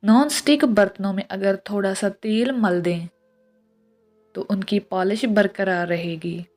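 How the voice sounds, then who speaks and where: A woman reads scripted tips in a measured, instructional way, as a narrator of short pieces of advice. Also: medium pitch, steady tone, darkish tone. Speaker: narrator of household and health tips. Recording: voice-over with music behind. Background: music.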